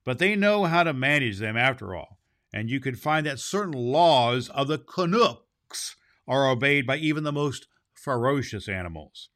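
A man reads a storybook aloud as its host. His delivery is expressive, in the way of a storyteller, with occasional small stumbles over the words. His pitch sits in an ordinary middle range for a man. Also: moderate pace. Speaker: storybook reader and podcast host. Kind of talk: storybook narration read aloud.

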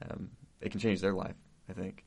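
A man's voice: low-pitched, level tone, normal speed, creaky, darkish tone, husky. A young man hosting a podcast, talking in a supportive way with many false starts. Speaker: podcast host. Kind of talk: casual conversation.

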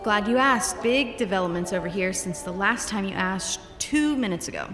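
A woman's voice: medium pitch, expressive, lightly acted. Woman playing sarcastic physicist, echoey. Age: 30s. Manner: wry, quick-witted. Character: snappy, sarcastic female physicist, expectant mother. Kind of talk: audio drama acting. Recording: echoey reverberant room, music behind.

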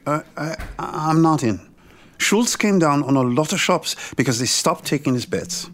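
A man's voice: fairly high pitch, expressive, moderate pace, a bit bright. An actor playing a mild-mannered man in a period Harlem audio drama. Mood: plain, uneasy.

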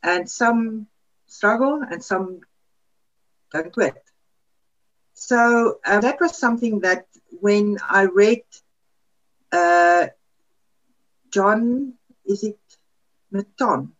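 A woman's voice: mid-range voice, very slow, pauses often. Woman with a lo-fi sound. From South Africa. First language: Afrikaans. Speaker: podcast panelist. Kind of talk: casual chat.

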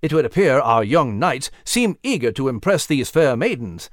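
A man's voice: medium pitch, big pitch swings, normal speed, no room sound, clean studio sound, natural voice. A man voicing a witty, genteel British mouse with pompous, flowery speech in a children's story. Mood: amused.

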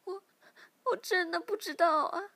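Give me a woman doing a sobbing young woman in a read-aloud story, pleading desperately, voice high and loud with tears in it. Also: measured pace, dry recording, full character voice. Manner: pleading, high strained wails. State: crying.

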